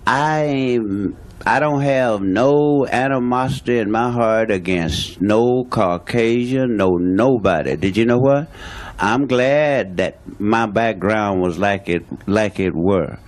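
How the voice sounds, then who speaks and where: A man's voice: on the low side, takes it slowly. Emphatic man in his sixties. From Louisiana, USA. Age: 60s. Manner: emphatic, blunt, repeats his points, plain everyday speech. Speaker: guest speaking on a talk show. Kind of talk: impassioned talk show statement.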